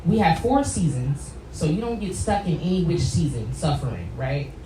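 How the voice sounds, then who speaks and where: A woman's voice: low-pitched, lively intonation, moderate pace. An American woman answering as a podcast guest in a reflective, emphatic, conversational way, often checking in with the listener. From New Jersey, United States. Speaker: guest, wellness coach and therapist. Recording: roomy echo, distant mic, loud background noise.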